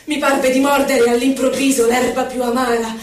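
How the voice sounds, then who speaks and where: A woman playing a poet's mournful, questioning inner voice, reciting verse with dramatic, deliberate phrasing. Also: high-pitched, flat delivery, close to an everyday voice. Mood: troubled. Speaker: stage actress. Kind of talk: theatrical poetry recitation. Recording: echoey hall, distant mic, music.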